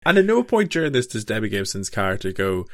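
A man with a low voice and very lively intonation chats on a podcast about films. He riffs loosely and jokily. The recording is dry and close. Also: fast talker, dry recording. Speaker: podcast panelist. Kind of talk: casual comedic film discussion.

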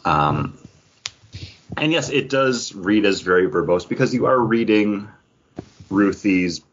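A man's voice: lowish voice, lively intonation, slow pace, highs cut off, slight background noise. A man co-hosting a podcast, giving a review in casual, earnest, explanatory talk. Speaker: podcast co-host reviewing comics.

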